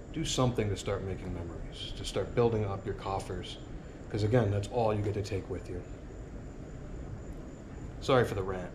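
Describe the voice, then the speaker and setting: Middle-aged man, lowish voice, earnest rambling vlog talk, outdoor porch, action cam a few feet off, steady ambient noise. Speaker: sailing vlogger. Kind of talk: casual reflective monologue. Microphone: action camera on a tripod a few feet away.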